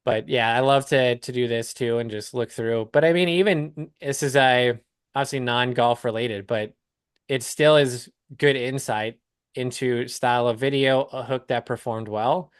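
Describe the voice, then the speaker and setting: A man's voice: medium pitch, measured pace, smooth, no room sound, studio quality. A man talking casually as a coach on a live call, explaining things step by step with examples. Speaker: business coach hosting a client call.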